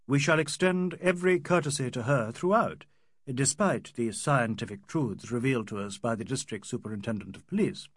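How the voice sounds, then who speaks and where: A man's voice: mid-range voice, normal speed, no echo, clean studio sound, lightly acted. A man voicing an eminent, formal barrister in an audiobook, courteous and firm, with an Oxford English accent. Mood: firm, formal objection. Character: eminent Indian barrister, large, formal, coolly objecting.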